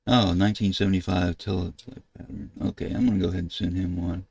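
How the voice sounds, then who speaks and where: An older American man with a low, creaky voice talks through a computer demonstration. He speaks casually and haltingly, thinking aloud as he goes. His delivery is flat, with little rise or fall. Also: slight background noise. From United States. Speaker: ham radio video host. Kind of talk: casual screen-share tutorial narration. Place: indoors at a computer desk.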